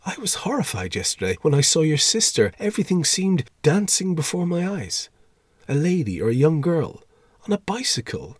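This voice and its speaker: A man's voice: on the high side, big pitch swings, moderate pace, husky, no echo, studio quality, pitched above the performer's own voice. A man reading a storybook as a fussy, timid, easily shocked older schoolmaster with a formal, pleading, moralising manner. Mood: horrified, pleading.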